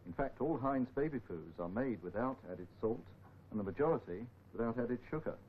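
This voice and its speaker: A man's voice: medium pitch, lively intonation, brisk. A middle-aged man giving a scripted presentation as a company nutrition expert. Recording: dull old film sound, faint noise.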